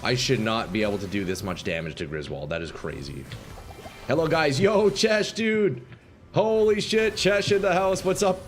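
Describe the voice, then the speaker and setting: A male game streamer talks to his chat in a loose, excitable way, cursing and calling out to viewers. His voice is mid-pitched and slightly hoarse from talking too long. Faint game music plays underneath. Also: moderate pace. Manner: chatty, swears, reacts loudly, talks to chat as "boys". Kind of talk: casual live-stream chat while playing.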